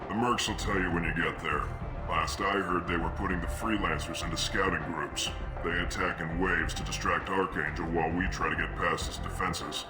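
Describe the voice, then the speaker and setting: Man acting a gruff mercenary recruiter, deep voice, clipped and businesslike, video game, roomy echo over music. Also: fairly even intonation, moderate pace, lightly acted. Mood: blunt, businesslike. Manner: blunt, clipped, no-nonsense.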